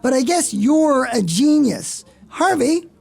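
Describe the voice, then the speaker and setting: A man playing an older, excitable, proud shop owner in a radio play, commanding, self-important and sarcastic. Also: very high voice, slow pace, vocal fry, full character voice. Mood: sarcastic, testy.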